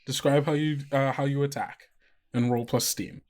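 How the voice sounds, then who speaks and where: A man's voice: mid-range voice, steady tone, a little mellow. Guy gm narrating a tabletop game, lots of uh and like, mid voice. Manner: loose and halting, many fillers. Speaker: game master.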